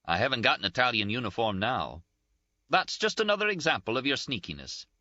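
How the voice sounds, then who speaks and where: A man voicing a tearful, scolding young Scottish nurse, shrill and sobbing in an audiobook. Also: sing-song, brisk, sharp tone, no room sound, muffled recording. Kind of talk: audiobook character voice.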